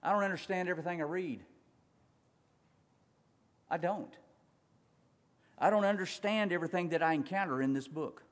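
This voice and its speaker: A man preaching a sermon, swinging between loud urgent passages and quiet slow ones, drawing out words for emphasis. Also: high-pitched. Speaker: pastor. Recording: long reverb of a large hall.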